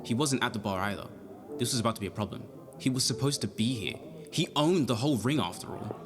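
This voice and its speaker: A man's voice: mid-range voice, animated, fast, close to an everyday voice. A man acting as a nervous, polite young amateur detective who narrates his case in an audio drama. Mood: measured, wry. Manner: hesitant, flustered in dialogue, formal when narrating. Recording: music behind the voice.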